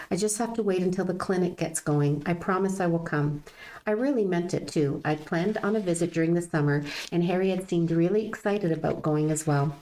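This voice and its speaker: An older woman hosting a chat and reading aloud from her own novel, agreeable and quick with "yeah". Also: lowish voice, mellow, low-quality recording. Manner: agreeable, frequent "yeah" backchannels. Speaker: podcast host and author reading her novel. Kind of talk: casual chat and book reading.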